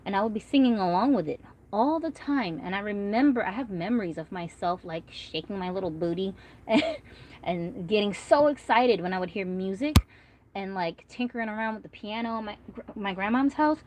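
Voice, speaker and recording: A woman's voice: mid-range voice, animated, a little fast, darkish tone. Chatty woman vlogger, rambling and friendly. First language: Korean. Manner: chatty, rambling, frequent fillers. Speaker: doll collector vlogger. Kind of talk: casual livestream chat reading comments.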